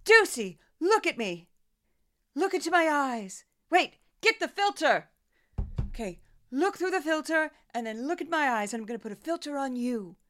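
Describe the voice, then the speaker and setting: A woman's voice: sits high, very animated, normal speed, fairly bright tone. A woman putting on a parody of a smug, self-deluded female politician hosting a party, emphatic and insistent, in a satirical radio play. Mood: commanding.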